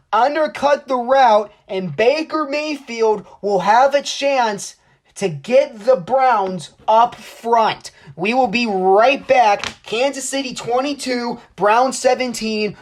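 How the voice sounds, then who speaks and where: A young man giving play-by-play football commentary as a sportscaster. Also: high-pitched. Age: young adult.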